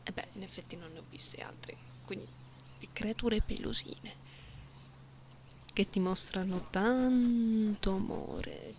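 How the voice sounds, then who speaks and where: Woman playing a slow, breathy, affectionate catgirl. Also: medium pitch, a little hiss or hum. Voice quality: breathy, often dropping to a whisper. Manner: soothing, teasing, lingering. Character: affectionate, bored, playful female catgirl. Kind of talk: intimate ASMR roleplay.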